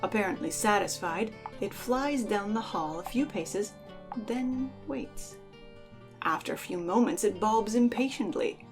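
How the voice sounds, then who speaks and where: A woman's voice: mid-range voice, lively intonation, unhurried. Woman narrating a fantasy storybook over music. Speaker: podcast host and narrator.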